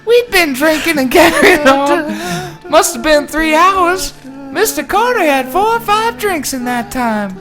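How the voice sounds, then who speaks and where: A woman performs a folksy witness telling her terrible tale in a dramatic reading. She takes her time, stretching out plain, colloquial phrases. Music plays clearly underneath. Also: high-pitched, animated, pitched above the performer's own voice. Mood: uneasy recollection. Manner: folksy, colloquial, drawn-out storytelling. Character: folksy woman survivor recounting a horrific bar shooting. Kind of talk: dramatic reading of a first-person eyewitness account.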